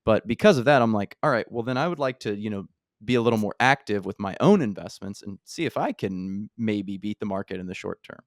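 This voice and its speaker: Chatty low-voiced man in his thirties. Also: lively intonation, brisk, dry recording, clean studio sound. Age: 30s. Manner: chatty, thinks aloud with many "like"s. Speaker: podcast host. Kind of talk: casual interview chat.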